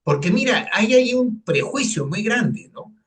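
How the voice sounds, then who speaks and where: A man's voice: high-pitched, sing-song, measured pace, a bit bright, recorded from a distance. Earnest man in his sixties from Lima. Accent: Peruvian Spanish, Lima. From Peru. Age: 60s. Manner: earnest, storytelling, frequent fillers. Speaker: economist, guest. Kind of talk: personal interview.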